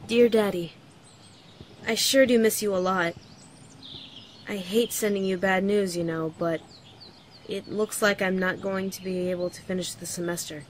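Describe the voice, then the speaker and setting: A young woman playing a weary student and mother-to-be, gently and earnestly reading out a letter in an audio drama. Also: medium pitch, fairly even intonation, moderate pace, pauses often, slight background noise, close to an everyday voice. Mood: sad, tender. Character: weary pregnant young student writing to her father. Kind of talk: letter read aloud in horror audio drama.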